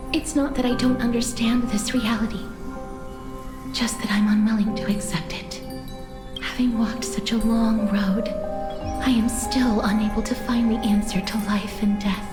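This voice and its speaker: A woman voicing a gentle, melancholy young woman in a video game, with soft, breathy, solemn and formal delivery. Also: on the high side, steady tone, close to an everyday voice. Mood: sorrowful, resigned. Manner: measured, solemn, formal phrasing. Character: gentle, melancholy young woman resigned to fate, touched by death. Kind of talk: English video game voice acting, dramatic monologue and dialogue. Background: game music.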